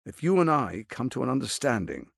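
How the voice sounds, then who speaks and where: An actor playing a scheming, arrogant middle-aged tyrant lord in a video game, with a pompous, silky, menacing delivery. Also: male voice, medium pitch, big pitch swings, a little fast, vocal fry, a bit bright, no room sound, studio quality, full character voice. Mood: persuasive, grandiose. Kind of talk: theatrical video game voice acting.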